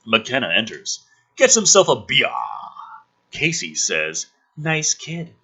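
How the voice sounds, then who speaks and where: Animated raspy man, sharp and exasperated. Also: medium pitch, extremely slow. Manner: sarcastic, foul-mouthed, exasperated outbursts. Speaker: gaming channel host reading and mocking a film script. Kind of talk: dramatic script read-through with ranting commentary.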